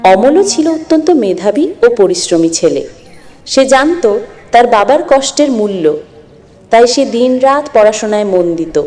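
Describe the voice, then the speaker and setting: A woman's voice: fairly high pitch, sing-song, measured pace, mellow. A woman narrating a scripted moral story as the storyteller. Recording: long echoing reverb.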